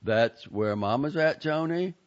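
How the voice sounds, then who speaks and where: A man playing a gentle, simple-hearted young brother. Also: on the high side, animated, unhurried, voice pushed up. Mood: eager. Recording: muffled lo-fi recording.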